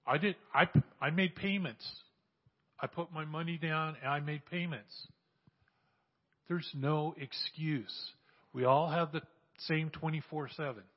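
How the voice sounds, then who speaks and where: A man in his sixties giving an animated church testimony, exhorting and repeating phrases for emphasis. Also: fairly high pitch, unhurried, lo-fi sound. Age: 60s. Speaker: church speaker sharing mission testimony. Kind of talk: sermon testimony.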